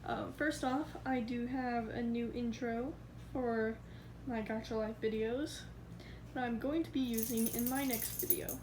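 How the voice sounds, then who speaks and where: A woman's voice: a little high, fairly even intonation, unhurried. A woman vlogging casually from her backyard and home, chatty and giggly, rambling as she goes. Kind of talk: casual vlog chat. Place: backyard outdoors, then indoors. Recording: noisy home video recording.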